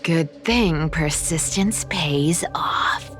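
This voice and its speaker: A woman's voice: sits low, very slow, fairly bright tone, soft background music, full character voice. A woman voicing a sly, gleeful young female thief in a video game, theatrical and smug, muttering and giggling to herself.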